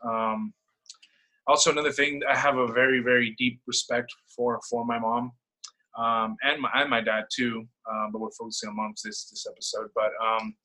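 A man's voice: medium pitch, level tone, normal speed, pushed and bright, rough recording. A young man from El Salvador talking casually and personally in conversation, searching for words and repeating himself as he goes. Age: young adult.

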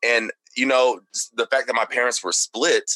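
A man's voice: medium pitch, fairly even intonation, normal speed, bright, forceful tone, no room sound, low-quality recording. A young man from Texas, a podcast guest chatting casually and filling his talk with "like" and "you know.". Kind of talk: casual conversation.

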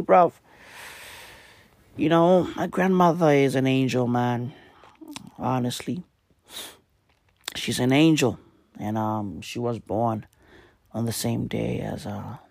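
A young man with a hoarse, raspy voice that crackles into fry. He rambles in a laid-back, profane way, heavy on the fillers, and the sound is dry and close with no room around it. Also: mid-range voice, dry recording. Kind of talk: casual rambling monologue.

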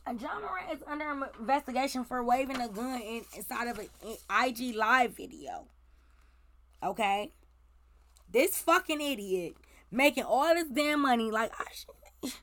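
A woman in her forties with a slightly raspy voice, hosting casual celebrity gossip commentary in a blunt, profane, ranting way. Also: high voice, bright, forceful tone, no echo.

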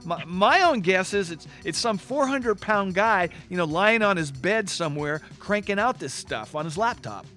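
An actor playing a defensive, irreverent radio host in comic banter, talking in a halting, rambling, exasperated way. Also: male voice, sits high, expressive, bright, forceful tone, faint music behind.

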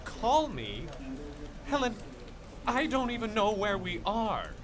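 A man's voice: sits high, huge pitch swings, slow, crowd noise, full character voice. An actor playing a weary, sarcastic male war correspondent dragged from his bed. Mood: incredulous. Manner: sarcastic, exasperated. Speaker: radio broadcaster figure. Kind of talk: audio drama acting.

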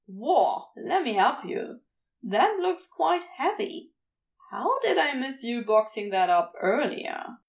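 A woman's voice: on the high side, big pitch swings, takes it slowly, creaky, exaggerated voice. A woman voicing a wily, affectionate male demon in a fan fiction audio story, teasing and sardonic in a casual way.

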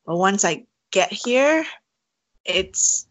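A woman's voice: mid-range voice, very animated, extremely slow, no echo. A woman from Thailand, speaking English with a Thai accent, answering interview questions in a hesitant way with many fillers and restarts. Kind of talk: casual interview conversation.

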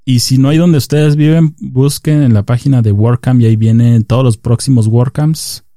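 A man hosts a casual interview in a mellow voice. He chats easily and turns to explain things to his listeners. The sound is dry and close. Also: medium pitch, normal speed, dry recording. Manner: relaxed, chatty, explains to listeners. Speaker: podcast host.

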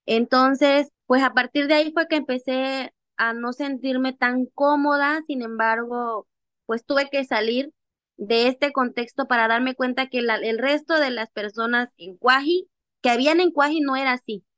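A young adult woman from Mexico's Costa Chica, with a Costa Chica accent, telling her own story in an interview in an animated way. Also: high-pitched, unhurried, no echo. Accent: Costa Chica Mexican Spanish. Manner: animated, acts out remembered dialogue. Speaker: interview guest, activist. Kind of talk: personal storytelling in conversation.

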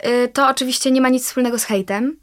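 Young woman, fairly high voice, casual interview, fillers and self-corrections. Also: steady tone, quick pace. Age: young adult. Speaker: guest, actress and singer.

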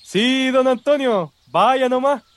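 A smitten, superstitious Chilean gravedigger. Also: male voice, very high voice, takes it slowly. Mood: matter-of-fact. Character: superstitious, smitten, respectful cemetery worker. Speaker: actor. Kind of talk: radio drama acting, colloquial dialogue.

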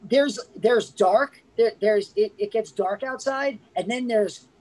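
A woman's voice: medium pitch, slow, darkish tone, poor audio. A woman co-hosting a casual movie review podcast, talking eagerly and thinking aloud as she goes. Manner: chatty and enthusiastic, thinking aloud. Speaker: podcast co-host.

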